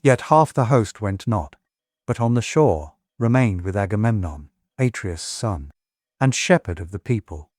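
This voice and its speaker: A man's voice: lowish voice, animated, measured pace, darkish tone, no echo, clean studio sound. An actor playing a grave, long-winded old warrior king, recounting war in stately oratory for an epic audiobook drama.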